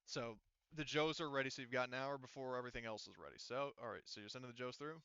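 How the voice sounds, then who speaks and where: Clear, bright-voiced man running a game. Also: medium pitch, no room sound, lo-fi sound. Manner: halting, checking details back with players. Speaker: game master. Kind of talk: casual tabletop roleplaying session talk.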